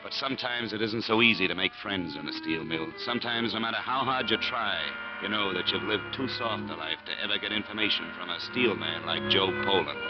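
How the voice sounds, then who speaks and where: Man playing a low-voiced American radio detective. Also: fairly quick, few pauses, over loud music, lightly acted. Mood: reflective. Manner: dry, understated. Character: wry American insurance investigator man, undercover, probing. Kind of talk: 1950s radio acting and narration. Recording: muffled, faintly noisy old radio recording.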